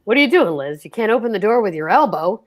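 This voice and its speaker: A woman's voice: medium pitch, big pitch swings, fast, a little hiss or hum, lightly acted. A woman reading the part of a teasing, wisecracking husband in an amateur old-time radio play. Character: husband George, bantering middle-aged man.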